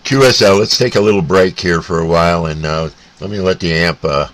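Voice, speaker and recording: A North American man chatting casually as a CB radio operator, in a halting, laid-back way. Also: fairly bright tone. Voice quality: deep. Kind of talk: casual two-way radio chat. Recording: faint static and radio hiss.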